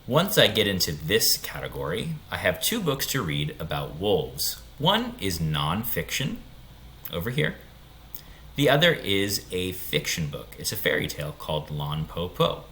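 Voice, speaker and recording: A man's voice: low-pitched, wildly swooping pitch, measured pace, a bit bright, slight background noise. A man giving an informal step-by-step screen tutorial for young students. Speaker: school tech tutorial presenter.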